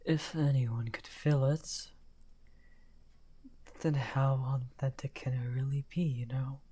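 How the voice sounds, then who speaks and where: Slow, soft-spoken villain wizard played by a man. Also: medium pitch, fairly even intonation, slightly rough. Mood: amused, fascinated. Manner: slow, theatrical, teasing, half-whispered. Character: misunderstood male villain wizard, weary, amused, softly menacing. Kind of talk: ASMR fantasy villain roleplay, addressing the listener.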